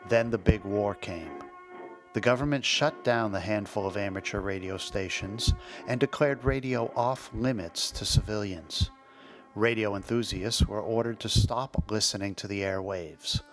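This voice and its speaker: A man with a slightly deep voice delivers scripted documentary narration. He tells it conversationally, as a storyteller with a wry streak. Soft music plays behind him. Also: a little slow. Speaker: podcast narrator and host.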